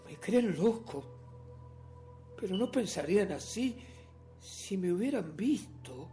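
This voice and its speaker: An actor playing a nervous, obsessive madman who insists he is sane, in a theatrical, halting radio drama monologue that swings between whispers and outbursts. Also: sits high, creaky, darkish tone, heavily acted. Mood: intense, boastful.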